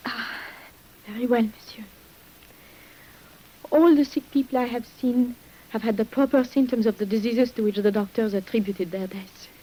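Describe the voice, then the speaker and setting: An actress playing a weary, secretive French nurse in an old radio drama, speaking formally and hesitantly with breathy sighs. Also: female voice, on the high side, steady tone, moderate pace, pauses often, warm tone, natural voice, poor audio. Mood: guarded, solemn. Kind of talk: 1950s radio drama acting. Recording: hissy old radio recording.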